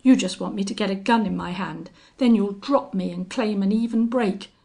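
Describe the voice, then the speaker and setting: A woman voicing a cowardly, blustering young man in a western audiobook, excitable and prone to stammering. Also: medium pitch, moderate pace, vocal fry. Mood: frightened pleading. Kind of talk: audiobook character acting.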